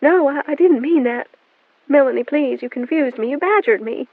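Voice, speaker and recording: A woman's voice: sits high, moderate pace, pitched above the performer's own voice. A woman voicing a frantic, pleading mother. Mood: desperate. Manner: pleading, rising and breathless. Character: frantic middle-aged mother, pleading, guilt-ridden. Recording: narrow phone-like band, dry.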